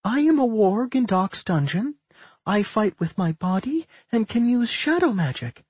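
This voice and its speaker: Young warg girl put on by a narrator, high-pitched, hesitant, earnest, feral, simple phrasing, fantasy audiobook. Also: big pitch swings, a little slow, darkish tone, slightly rough, dry recording.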